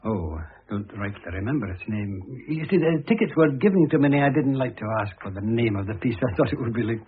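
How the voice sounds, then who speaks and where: An actor in an old-time radio drama playing an irascible, scheming, jealous husband who blusters indignantly and slyly. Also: male voice, mid-range voice, expressive, fast, a little hiss or hum, natural voice. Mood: smooth, evasive. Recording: muffled, narrow-band old radio recording.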